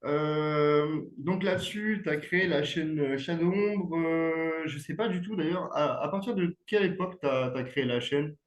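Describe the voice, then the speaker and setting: A man hosting an interview, asking questions in a halting way with many fillers. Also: fairly high pitch. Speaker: interview host. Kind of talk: casual interview. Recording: echoey room.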